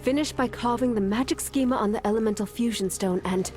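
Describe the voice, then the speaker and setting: A woman playing a composed, knowledgeable scholar-mage in a video game, measured and instructive. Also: a little high, a little mellow, natural voice. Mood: businesslike. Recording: clear music behind the voice.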